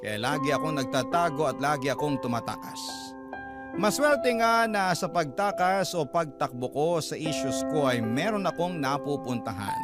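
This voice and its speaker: A man's voice: on the high side, expressive, normal speed. A man narrating a radio drama in the first person, in a confessional, reflective tone. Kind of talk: first-person scripted narration over music. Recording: loud piano music bed.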